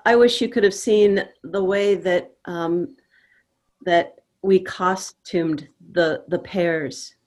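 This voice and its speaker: A middle-aged woman, a playwright, talking in a casual panel discussion in a measured way, pausing mid-phrase. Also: on the low side, fairly even intonation, a little mellow.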